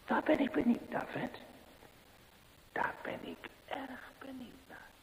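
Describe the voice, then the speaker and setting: A man's voice: very high-pitched, animated, slow, gravelly, a little mellow, in a whisper, full character voice. An actor playing a bold, curious man in a radio play, intent and decisive as he leads the way. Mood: intrigued. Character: bold, curious man leading the way through crypt. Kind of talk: Dutch radio play acting. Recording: echoey, hissy old radio recording.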